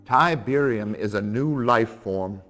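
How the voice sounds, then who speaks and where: An actor playing an eccentric, grave scientist in a video game cutscene, speaking in a theatrical, deliberate, scholarly way. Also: male voice, fairly low pitch, fairly bright tone, faint music behind. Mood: grave, ominous. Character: eccentric, grave male scientist and expert on an alien substance.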